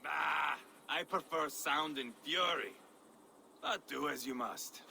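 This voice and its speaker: An actor playing a sadistic, gleeful middle-aged Norse warrior in a video game, gravelly and theatrical, taunting and unstable. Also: male voice, slow, sharp tone, heavily acted. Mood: bloodthirsty relish. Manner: theatrical, swinging from low menace to roaring. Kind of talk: dramatic video game acting. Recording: noisy game ambience behind the voice.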